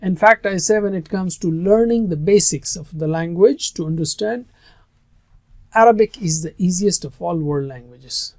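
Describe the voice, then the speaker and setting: A man's voice: high-pitched, moderate pace, dry recording, clean studio sound. A man whose first language is Urdu, teaching a recorded lesson on Quranic Arabic in an earnest, emphatic way that stresses key words.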